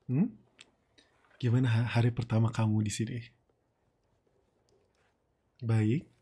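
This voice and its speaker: Breathy, slow Indonesian boss boyfriend, acted by a man. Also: mid-range voice, mellow. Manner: slow, teasing, murmured, lingering pauses. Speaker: ASMR boyfriend roleplay streamer. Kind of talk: intimate ASMR roleplay.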